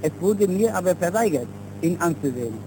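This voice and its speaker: A man's voice: high voice, expressive, fairly quick. Middle-aged man testifying, noisy 1930s recording. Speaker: witness giving testimony. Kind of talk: formal personal testimony for a newsreel.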